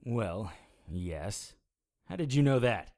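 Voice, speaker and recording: A man's voice: lowish voice, lively intonation, takes it slowly, a little mellow. A man playing a wry, weary supernatural private investigator in an audio drama, with a dry, sardonic manner. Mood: reasoning, wary. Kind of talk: audio drama acting with first-person noir narration.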